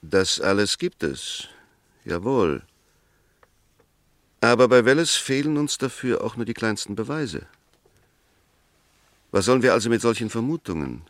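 English defence barrister, 1960s radio drama: lowish voice, formal, firm, methodical emphasis, very dry sound. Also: male voice, fairly bright tone, no echo. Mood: convinced. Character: Mr. Oliver, firm, reasoning English defence lawyer. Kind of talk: 1960s German radio play, formal debate.

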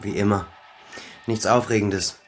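Actor as dutiful son, plain everyday voice. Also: male voice, lowish voice, steady tone, a little slow, slight background noise, lightly acted. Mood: caring, matter-of-fact. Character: dutiful, caring adult son, policeman. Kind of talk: German radio crime drama acting.

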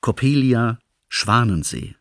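An actor playing a stern announcer reading out a programme in a radio drama, formal and emphatic. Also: male voice, mid-range voice, animated, slow pace, dry recording, clean studio sound.

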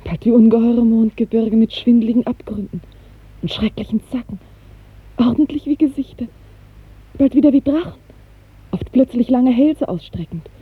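A young maiden in a 1950s radio play, lovesick and melancholy. Her voice is light and highish, very breathy and often sinking to a whisper. She speaks in a lyrical, wistful way, on a narrow, muffled old recording. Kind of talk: 1950s German radio drama acting.

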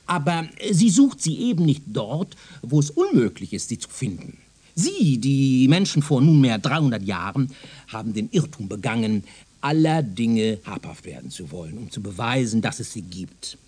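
An actor playing a self-assured, pedantic professor from the future in a German radio drama, lecturing in a condescending way. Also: male voice, mid-range voice, big pitch swings, normal speed, mellow, breathy edge. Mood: patronizing, reproving. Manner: pedantic, didactic, rhetorical.

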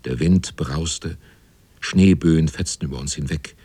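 An actor playing a grave seafarer who narrates a radio drama in measured, terse literary phrases with a husky voice. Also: male voice, dark tone, no room sound, heavily acted. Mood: grave, dramatic. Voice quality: very deep, husky. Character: grave seafarer recounting a hard voyage.

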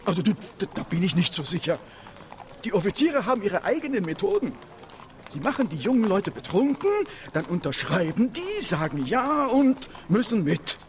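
A man's voice: high-pitched, very animated, moderate pace. An actor playing a worried townsman in a radio play, cautioning and explaining, in a noisy outdoor street scene. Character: worried male townsman warning about army recruiters.

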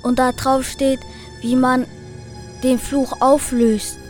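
A woman's voice: high-pitched, level tone, slow, a little mellow, soft background music. Girl playing a heavily stuttering cursed forest figure. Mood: halting, solemn. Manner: heavy stutter on first syllables. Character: stuttering cursed forest spirit, slow and solemn helper. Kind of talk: amateur school radio play.

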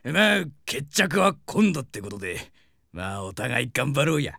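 A man acting a brash, hot-headed idol superfan in a Japanese comedy audio drama, with boisterous, theatrical delivery and rough slangy speech. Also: mid-range voice, big pitch swings, normal speed, sharp tone, no room sound, clean studio sound, heavily acted. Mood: friendly, hurried.

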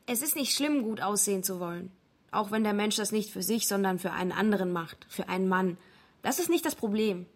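An actress voicing a serious, analytical woman critic in a radio documentary voice-over. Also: medium pitch. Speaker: voice-over translator. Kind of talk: translated interview read as voice-over.

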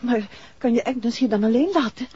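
An actress playing a concerned, practical wife of a detective in a 1960s radio drama. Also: female voice, on the high side, normal speed, dark tone, slightly rough. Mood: worried. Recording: dull, noisy vintage radio recording.

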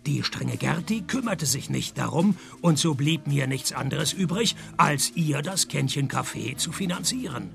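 An actor playing a world-weary, wisecracking private detective in a radio play, dry and deadpan, with a husky, gravelly voice. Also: male voice, on the high side, a little slow, hardly any pauses, soft background music, heavily acted. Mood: dry, sardonic. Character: sardonic, world-weary male private detective, middle-aged, broke. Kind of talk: first-person radio play narration and dialogue.

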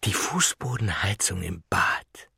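An actor playing a confused, secretive old man in a dramatised inner monologue, muttering conspiratorially to himself in a husky, breathy voice. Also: deep voice, expressive, slow pace, bright, forceful tone, no room sound, studio quality, exaggerated voice. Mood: muttering defiance.

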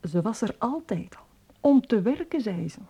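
Insistent young Flemish daughter, mellow, lively. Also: female voice, a little high, normal speed, slightly rough, exaggerated voice. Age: young adult. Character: worried, insistent young bride confronting her father. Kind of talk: radio drama acting.

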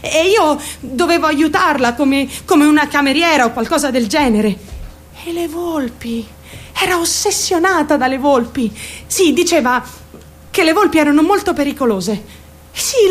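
An actress playing a troubled, defiant teenage girl who confides her story straight to the listener in a theatrical radio monologue. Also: sits high. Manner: confiding, addressing listeners directly, mimicking other voices.

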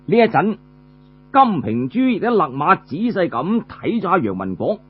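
A man tells a traditional story in Cantonese-accented speech. His pitch rises and falls with great animation and his tone is rough around the edges. The recording is muffled, with a mains hum. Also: big pitch swings, moderate pace.

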